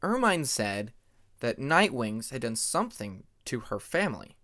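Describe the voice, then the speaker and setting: A man's voice: medium pitch, sing-song, unhurried, pushed and bright, dry recording, studio quality. Man as a thoughtful, careful young male dragon. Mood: hesitant. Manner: careful, hesitant questioning.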